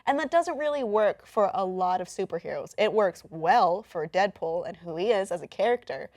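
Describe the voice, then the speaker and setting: A woman's voice: medium pitch, animated, normal speed, a little mellow, no echo, clean studio sound. A woman hosting an online entertainment news show, explaining things in a casual, conversational way. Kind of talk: casual news chat with a co-commentator.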